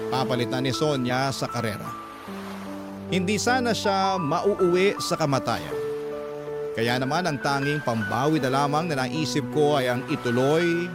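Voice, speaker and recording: A man narrating a letter in a mournful, reflective tone. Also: fairly high pitch. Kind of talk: dramatic scripted narration. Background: loud music.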